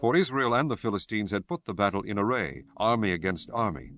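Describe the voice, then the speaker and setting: A man giving a solemn, measured dramatized narration of scripture as a storyteller. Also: lowish voice, lively intonation, fairly bright tone, soft background music.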